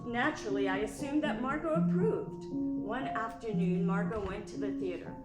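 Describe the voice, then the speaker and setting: A woman's voice: on the high side, normal speed, natural voice. An actress playing a poised society wife who narrates a radio play as her own recollection. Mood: composed. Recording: roomy sound with faint music.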